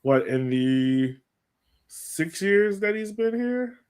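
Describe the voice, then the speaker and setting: An American man talking as a podcast host in casual soccer chat, like an animated fan full of exclamations. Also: high-pitched, slow, smooth.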